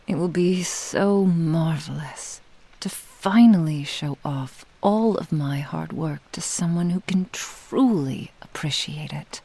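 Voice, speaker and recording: A woman playing a possessive, doting fox-spirit witch in an intimate ASMR roleplay, teasing in formal old-fashioned phrasing and often dropping into breathy whispers. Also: low-pitched, slow pace, mellow, full character voice. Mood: teasing, delighted. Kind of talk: ASMR roleplay, intimate scripted monologue to the listener.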